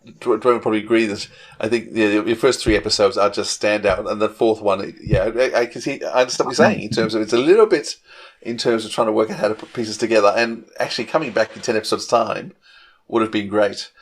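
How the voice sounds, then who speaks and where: A man's voice: fairly low pitch, steady tone, fast. A man hosting a casual interview, tentative and rephrasing as he goes. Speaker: podcast interviewer.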